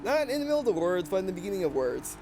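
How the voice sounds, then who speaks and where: A man's voice: high voice, very fast, music in the background. A man with an accent mixing Southern and Russian features, rambling and correcting himself as he gives casual commentary on a racing game he is playing. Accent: mixed Southern and Russian. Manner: rambling, self-correcting, excitable reactions. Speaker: let's play gamer.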